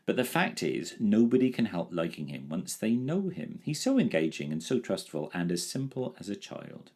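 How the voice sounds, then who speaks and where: Man reading as an excitable storybook boy, high and animated. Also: normal speed, breathy edge, exaggerated voice. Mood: pleading. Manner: earnest, pleading. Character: eager, excitable young boy.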